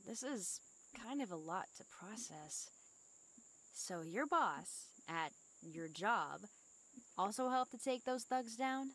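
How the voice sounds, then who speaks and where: A woman's voice: mid-range voice, expressive, slow, fairly bright tone, full character voice, poor audio. A woman voicing a stern but caring teenage schoolgirl, scolding yet concerned, in a fan dub of a visual novel game. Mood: curious, skeptical.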